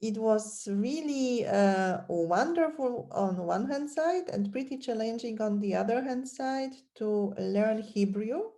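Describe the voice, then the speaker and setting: A woman hosting an interview, speaking deliberately with many hesitations. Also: fairly high pitch, animated, mellow. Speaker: podcast host. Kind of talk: interview conversation.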